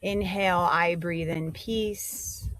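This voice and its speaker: A woman leading a guided meditation, giving gentle instructions with pauses between phrases. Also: fairly low pitch, fairly even intonation, no echo.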